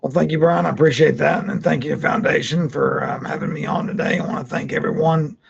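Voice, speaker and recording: A man with a very raspy, strained voice, giving a webinar presentation as a CPA. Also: on the high side, steady tone, a little fast, slight background noise. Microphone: webinar call. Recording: dull lo-fi webinar audio.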